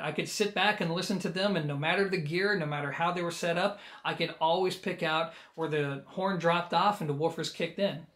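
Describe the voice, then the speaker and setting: Higher-pitched American man, casual reviewer. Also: a bit bright. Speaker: audio gear reviewer. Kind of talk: casual video review to camera.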